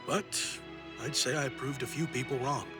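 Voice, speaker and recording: Man voicing confident young businessman, anime game, medium pitch, reflective, sentimental, music underneath. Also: steady tone, moderate pace, close to an everyday voice. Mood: proud. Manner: reflective, assured. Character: self-assured, sentimental young businessman, male. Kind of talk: anime game dialogue acting.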